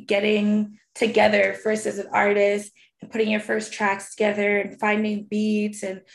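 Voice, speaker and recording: A woman interviewer asking measured questions between short affirmations. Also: medium pitch, monotone, gravelly. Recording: roomy echo.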